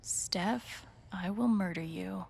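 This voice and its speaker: A woman playing a wry, quietly observant young video game heroine, speaking her inner thoughts in an understated, dry way. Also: mid-range voice, takes it slowly, vocal fry, breathy edge, full character voice. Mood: dry annoyance. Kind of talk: video game character acting, inner monologue.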